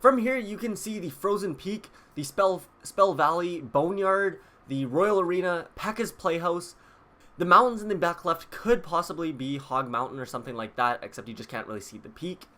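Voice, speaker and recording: A man's voice: high-pitched, expressive, normal speed, pushed and bright. A man hosting a video, explaining details to viewers in a casual, chatty way. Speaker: video host. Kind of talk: casual scripted video commentary.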